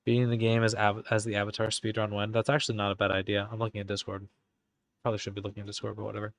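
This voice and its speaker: A man's voice: lowish voice, completely flat delivery, racing. A young man live-streaming in a put-on Irish accent that slips now and then, chatting casually and muttering to himself while he types game commands.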